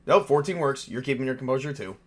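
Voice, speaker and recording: A man's voice: medium pitch, quick pace. Male game master narrating casually.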